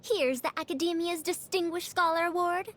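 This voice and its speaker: A woman's voice: extremely high pitch, normal speed, a bit bright. A woman voicing a proud, fussy female scholar in a video game, old in years but youthful, lecturing in a prim, pompous manner. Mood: proud, self-promoting. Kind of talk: scripted video game character lines.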